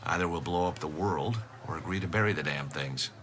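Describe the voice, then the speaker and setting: An actor playing a frank, matter-of-fact nuclear weapons expert in a radio drama. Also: male voice, deep voice, fairly quick, fairly bright tone, a little hiss or hum. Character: blunt, worldly American nuclear weapons expert.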